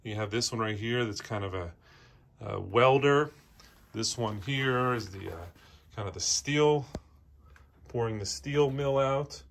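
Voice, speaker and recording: A man gives an unscripted walkthrough as a presenter, pointing things out in short, halting phrases as he moves around indoors. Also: mid-range voice, gravelly, fairly bright tone. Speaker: auction house presenter. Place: indoor antiques showroom, walking around.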